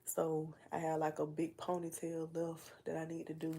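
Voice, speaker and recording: A woman's voice: low-pitched, monotone, normal speed, mellow, slight background noise. A young woman with a stuffy nose from a cold, chatting casually and rambling on a livestream. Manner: chatty, meandering, full of fillers and asides. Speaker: livestream host.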